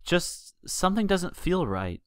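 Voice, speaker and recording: A man's voice: sits high, very animated, measured pace, clear, resonant tone, no echo, voice pushed up. An anxious, sheepish unicorn mare, acted by a man for a storybook reading. The speech stammers and hesitates. The recording is very dry. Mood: uneasy. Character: sheepish, anxious, bookish female unicorn pony. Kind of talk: fan fiction audiobook character voice.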